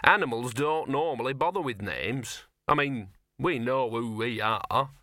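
A man's voice: expressive, a little slow, bright, forceful tone, dry recording, studio quality. A man doing a scruffy streetwise talking dog. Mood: cheerful. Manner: chatty, knowing, slightly higher.

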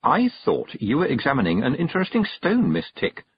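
A man's voice: a little high, very animated, moderate pace, husky, highs cut off, voice pushed up. Storybook girl witch, eleven, cool and composed, lighter raised voice, politely pointed a man acts her. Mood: cool, evasive. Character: cool, self-possessed eleven-year-old girl witch.

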